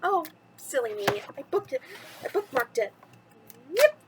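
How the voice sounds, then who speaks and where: Animated, high-voiced woman hosting storytime. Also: measured pace, noisy background. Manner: animated, chatty, addressing children directly. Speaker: host reading bedtime stories for children. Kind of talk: storybook reading and chatty video introduction.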